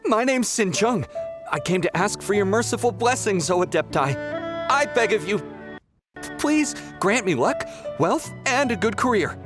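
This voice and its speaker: A man's voice: high voice, lively intonation, unhurried, over music. A man voicing a brash, greedy young pilgrim in a video game, melodramatic, whiny and easily offended. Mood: excited, pleading.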